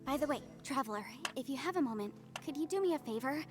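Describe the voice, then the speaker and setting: A polite young female scholar, speaks in a higher voice over music. Her video game delivery is measured, formal and thoughtful. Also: lively intonation. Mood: polite, gentle. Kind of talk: English video game voice acting.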